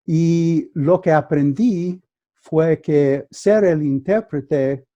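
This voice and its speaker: A man whose first language is English, speaking Spanish with an American accent as a guest mediator, telling a story carefully with halting restarts over a video call. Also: fairly high pitch, dark tone, lo-fi sound. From United States. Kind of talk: conversational storytelling.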